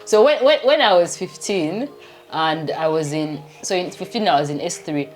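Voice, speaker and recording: Low-voiced Ugandan woman vlogging. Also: normal speed, soft background music. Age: 30s. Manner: chatty, laughs often, rambling. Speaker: vlogger and doctor. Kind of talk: casual vlog chat.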